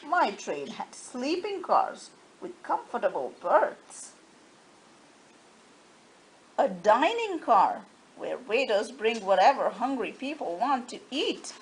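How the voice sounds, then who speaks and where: A woman's voice: on the high side, big pitch swings, slow pace, heavily acted, rough recording. Woman voicing a haughty, boastful train engine. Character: proud, snooty male passenger train engine. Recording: faint background noise.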